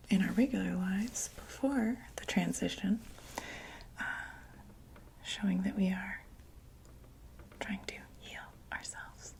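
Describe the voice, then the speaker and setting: A woman playing a gentle vampire doctor in a whispered ASMR medical roleplay, reassuring and a little hesitant. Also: mid-range voice, a little hiss or hum, close to an everyday voice. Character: gentle female vampire doctor examining a patient.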